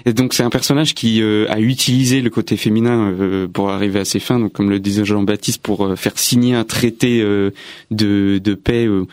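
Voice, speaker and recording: A man from the Paris region, a stage actor, calmly explaining his part as a radio guest. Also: fairly low pitch, normal speed, dry recording, studio quality. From Paris region, France. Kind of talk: radio interview. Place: radio studio.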